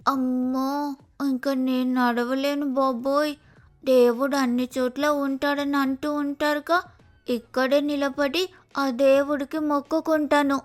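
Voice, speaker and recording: A woman's voice: high-pitched, little pitch movement, slow pace, faint music behind. A woman voicing a lazy, pampered young female sparrow in a cartoon moral story, whining and wheedling dramatically. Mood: weary complaining.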